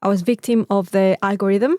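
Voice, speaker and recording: A Mexican woman, a native Spanish speaker, co-hosts in a casual, chatty way. Her voice sits at a middle pitch. She tells her stories with short repeated interjections, recorded dry and close. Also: fairly even intonation, brisk, dark tone, dry recording. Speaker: podcast co-host. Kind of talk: casual chat.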